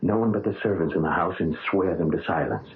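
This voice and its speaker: An actor playing an intense young pianist who speaks in halting, earnest stammers in a 1970s radio drama, on a tinny lo-fi broadcast. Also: male voice, sits low.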